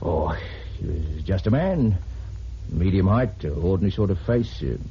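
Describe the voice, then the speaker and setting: A man's voice: deep voice, animated, moderate pace, breathy edge, heavily acted. An actor playing an evasive, defensive male murder suspect in a vintage radio drama. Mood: nervous, evasive. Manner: hesitant, stammering, defensive. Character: evasive, nervous male murder suspect who later confesses. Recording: muffled, noisy vintage radio recording.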